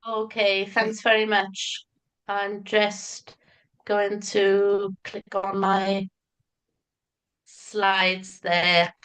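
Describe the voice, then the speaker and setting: A woman's voice: medium pitch, level tone, very slow, a bit bright, clear, resonant tone, poor audio. A middle-aged woman from Wales with a Welsh accent, chatty and enthusiastic, presenting a teachers' webinar. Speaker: materials writer and teacher educator.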